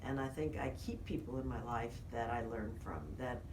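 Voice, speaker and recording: A woman's voice: extremely low pitch, moderate pace. A middle-aged woman speaking casually as an interview guest. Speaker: interview guest, senior care professional. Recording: roomy, distant mic, loud background noise.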